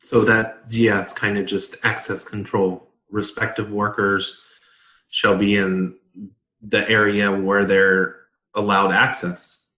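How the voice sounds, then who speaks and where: Man, deep register, consultant explaining in a casual, halting way, roomy echo. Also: little pitch movement, sharp tone. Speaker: consultant discussing a standard. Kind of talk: casual explanatory panel discussion. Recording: roomy, echoing sound.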